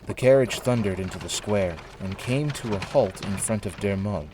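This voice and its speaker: Low-voiced man narrating an audio drama. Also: normal speed, noisy background. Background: music.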